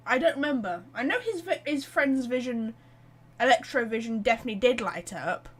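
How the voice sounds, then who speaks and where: High-voiced, chatty woman streamer. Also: lively intonation, brisk, a bit bright, slightly rough. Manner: self-deprecating, chatty. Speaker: game streamer. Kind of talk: casual live game commentary.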